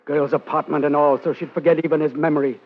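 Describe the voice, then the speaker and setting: An actor playing a cold, vengeful killer in a menacing, hard-boiled 1950s radio drama style. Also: male voice, fairly high pitch, level tone, fast, natural voice. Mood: bitter, vengeful. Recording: dull vintage radio recording.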